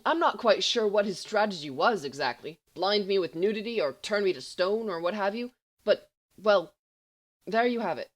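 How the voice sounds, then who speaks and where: A woman's voice: medium pitch, lively intonation, normal speed, natural voice. A woman voicing a shy, earnest teenage schoolboy in an audiobook, hesitant and grave as he bluffs gamely. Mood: awkwardly braver. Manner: hesitant, mumbling but audible, grave. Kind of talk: audiobook character voice.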